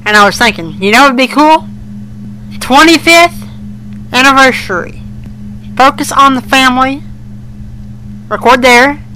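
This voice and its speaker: A man's voice: very high voice, measured pace, pauses often, bright, forceful tone, a little hiss or hum, poor audio. A male co-host chatting casually on a fan podcast, in an amateur recording.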